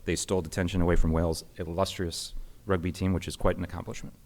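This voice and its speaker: A man hosting an event, reading a prepared formal introduction in a measured way with small slips. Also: low-pitched, flat delivery, a little mellow. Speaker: host introducing a guest. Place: conference hall.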